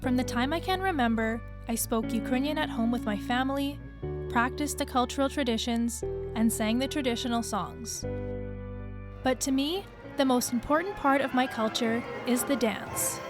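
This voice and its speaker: A young woman from Canada narrates from a script in a smooth, even delivery. Her voice is slightly high, and music plays clearly behind her. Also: moderate pace. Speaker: narrator, dancer.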